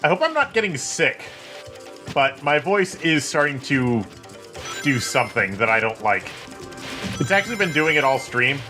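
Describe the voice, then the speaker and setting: A man streaming a video game, rambling, swearing and joking at himself while his voice turns hoarse and tired. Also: a little high, very animated, moderate pace, pauses often. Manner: rambling, swearing, self-mocking. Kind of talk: casual gameplay commentary. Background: game music.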